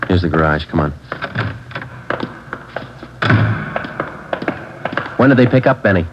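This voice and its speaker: An actor playing a terse, deadpan male police detective sergeant who also narrates, in a 1940s radio drama with an old, dull recording. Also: deep voice, very fast, very noisy. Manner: terse, deadpan, matter-of-fact.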